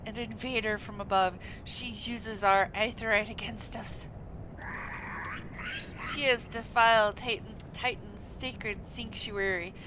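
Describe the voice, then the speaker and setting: A woman voicing a zealous, accusing kobold priest from a fantasy game in a declamatory, chanting manner. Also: a little high. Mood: indignant, accusing. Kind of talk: dramatic read-aloud of fantasy game dialogue. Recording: noisy room, steady background noise.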